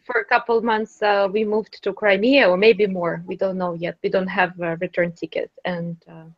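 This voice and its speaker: A woman's voice: mid-range voice, flat delivery, normal speed, no echo. Woman hosting a club over a dull call. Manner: friendly, laughs often, fillers. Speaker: speaking club host. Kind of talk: casual chat, leading discussion. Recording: dry, dull lo-fi audio.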